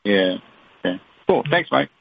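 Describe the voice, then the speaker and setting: A man's voice: lowish voice, sing-song, moderate pace, vocal fry, a little hiss or hum. A man, a reporter, casually asking questions on a press call in a hesitant, rambling way. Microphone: telephone. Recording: dull, dry phone conference line.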